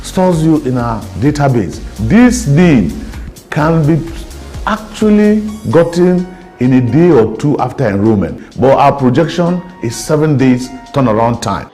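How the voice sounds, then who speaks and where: A man's voice: mid-range voice, very animated, unhurried, dark tone, soft background music. Emphatic Nigerian male spokesman. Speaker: official spokesperson. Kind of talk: interview explanation.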